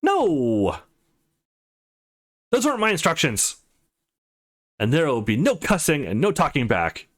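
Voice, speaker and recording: A man's voice: on the high side, wildly swooping pitch, measured pace, pauses often, pitched above the performer's own voice, heavily acted. A man voicing an easygoing young man in a visual novel who plays a bossy teacher, mock-stern but laid-back.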